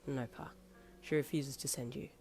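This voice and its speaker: A woman doing a cocky young boyfriend, low. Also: fairly even intonation, a little fast, faint music behind. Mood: gentle. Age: young adult. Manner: teasing, then gentle and reassuring.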